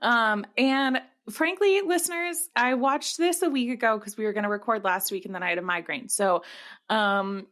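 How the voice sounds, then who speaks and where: Female podcast co-host, higher register, informal and jokey, giggly delivery, conversational throughout. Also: normal speed, a bit bright. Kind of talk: casual comedic chat.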